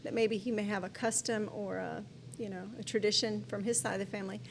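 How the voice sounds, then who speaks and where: A woman's voice: mid-range voice, fairly even intonation, fast talker, gravelly, a little hiss or hum. A middle-aged woman pastor, a guest in an interview, talking earnestly and casually with frequent restarts. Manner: warm and earnest, with frequent restarts and "you know".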